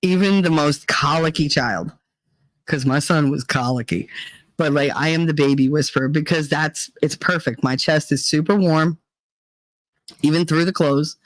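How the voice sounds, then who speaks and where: A middle-aged woman with a deep, chesty voice, hosting a livestream in casual, profane chat while reading viewer comments. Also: moderate pace, no room sound, rough recording. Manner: chatty and profane, rambling with many fillers. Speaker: livestream host.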